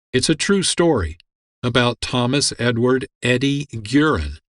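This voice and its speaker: A man's voice: mid-range voice, fairly bright tone, no room sound, clean studio sound. A man hosting a radio program with scripted, informative narration. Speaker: host.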